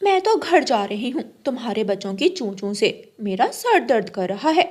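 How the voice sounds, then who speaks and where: A woman's voice: a little high, very animated, normal speed, darkish tone. A woman voicing a jealous mother crow in a children's cartoon, with a dramatic, emphatic delivery. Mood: spiteful, angry. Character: spiteful jealous female crow, later remorseful mother. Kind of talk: Hindi children's cartoon voice acting.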